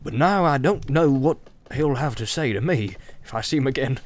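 A man's voice: medium pitch, expressive, normal speed, lightly acted. A man voicing a flustered, apologetic and chatty innkeeper who stammers and rambles, in a fantasy storybook reading. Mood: rueful.

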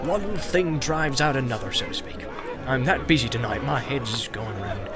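A man's voice: medium pitch, lively intonation, moderate pace, crowd noise, natural voice. A man voicing a bustling, talkative, forgetful stout male innkeeper who chatters on in a flustered rush. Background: music.

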